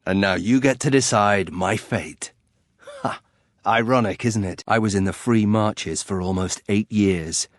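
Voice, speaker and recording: A man's voice: lowish voice, measured pace, natural voice. An actor playing a bitter, proud young nobleman turned warrior in a fantasy game, with a dry, sardonic, clipped delivery. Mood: sardonic, defiant. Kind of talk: fantasy video game voice acting.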